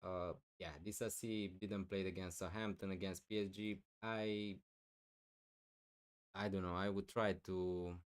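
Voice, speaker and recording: Man, live streamer, low voice, smooth and clear, loud with lots of fillers, voice wearing thin. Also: level tone, a little slow. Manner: loud, thinking aloud with many fillers. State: losing his voice, clearing throat. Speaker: fantasy football streamer. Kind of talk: live stream answering chat questions.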